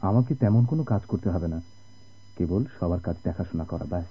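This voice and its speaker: An actor playing an eager, stubborn sailor husband, pleading tenderly and dramatically in a radio play. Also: male voice, deep voice, brisk, dark tone, slightly rough. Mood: reassuring. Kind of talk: Bengali radio drama acting. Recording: muffled old radio drama recording.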